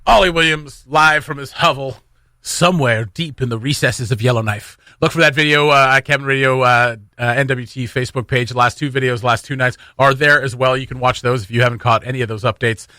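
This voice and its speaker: Radio host guy, bright forward voice, jokey and casual, close dry studio sound. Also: medium pitch, fairly even intonation, brisk, dry recording. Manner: jokey, informal. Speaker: radio morning show host.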